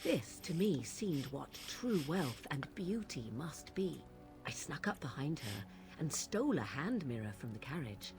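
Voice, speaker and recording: A woman's voice: low-pitched, big pitch swings, a little slow, music in the background, exaggerated voice. An actress playing an aloof, haughty young witch of the wilds in a fantasy video game, with precise archaic phrasing. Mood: wistful reminiscence.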